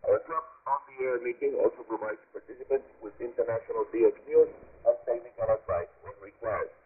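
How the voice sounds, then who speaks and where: A man with a Cuban accent and native Spanish, hosting a scripted radio program in a deliberate, formal announcer style with emphatic phrasing, heard over shortwave. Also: medium pitch, slightly rough. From Cuba. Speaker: radio host. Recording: narrow, muffled shortwave radio reception. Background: shortwave broadcast received on a radio.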